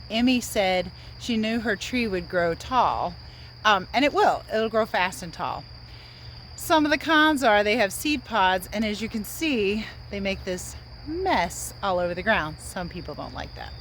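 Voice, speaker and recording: A woman's voice: medium pitch, fairly bright tone, slight background noise. A woman reading and explaining to young viewers as a children's read-aloud teacher and host.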